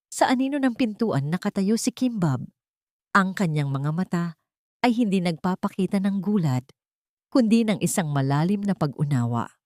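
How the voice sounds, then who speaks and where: A woman's voice: lowish voice, a little mellow, no echo, studio quality. A woman narrating a scripted, dramatic audio story.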